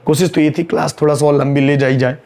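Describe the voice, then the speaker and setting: A man's voice: on the high side, little pitch movement, a little mellow. A man teaching an accountancy class online in Hindi mixed with English terms, working sums aloud and scolding his students, his throat sore and strained. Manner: brisk, scolding, repeats key lines.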